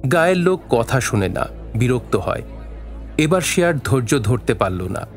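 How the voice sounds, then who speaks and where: A man's voice: mid-range voice, big pitch swings, moderate pace, soft background music. A man narrating a scripted story for an audio drama, in a literary storytelling style.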